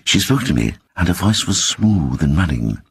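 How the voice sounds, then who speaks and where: A man's voice: low voice, sing-song, normal speed, vocal fry, husky, no room sound, pitched above the performer's own voice, full character voice. A man voicing a timid, apologetic Englishman who hesitantly confides an uncanny experience in an audiobook story. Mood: uneasy, hushed.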